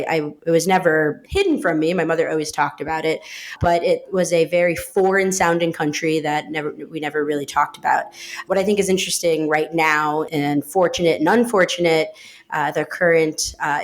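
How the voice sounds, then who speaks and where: A woman, talking reflectively in an interview, with many fillers and restarts. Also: deep voice. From upstate New York. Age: 30s. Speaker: guest, managing director of a design studio. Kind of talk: casual interview talk.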